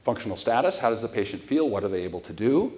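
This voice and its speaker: A man's voice: fairly low pitch, quick pace. Earnest man giving a keynote. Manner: earnest, explanatory, steady with frequent emphatic stresses. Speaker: keynote speaker. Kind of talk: conference keynote lecture. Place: large conference ballroom stage.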